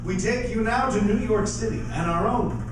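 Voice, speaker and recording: A man playing a solemn news anchor in a live radio play, with a measured announcer delivery. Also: sits high, noisy background, close to an everyday voice. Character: solemn male radio news anchor reporting alien invasion. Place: live on stage in a performing arts hall. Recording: echoey hall, far mic, loud music behind.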